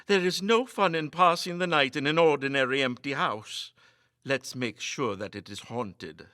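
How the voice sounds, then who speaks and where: A man voicing an eager, excitable gentleman ghost-believer with emphatic speech in a storybook reading. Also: mid-range voice, normal speed, no echo, clean studio sound, natural voice.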